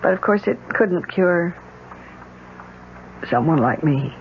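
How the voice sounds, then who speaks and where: Anxious, animated radio drama wife. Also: female voice, lowish voice, slow pace. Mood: anguished, desperate. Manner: hesitant, earnest, emotional. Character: anxious, pleading married woman with useless hands. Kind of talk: 1970s radio drama acting. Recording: muffled old radio broadcast, faint hiss.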